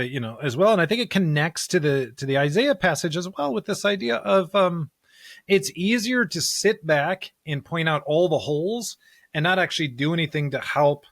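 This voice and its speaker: Higher-voiced man co-hosting a podcast, dry sound. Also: animated, moderate pace, fairly bright tone, dry recording. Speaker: podcast co-host. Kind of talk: conversational discussion of scripture.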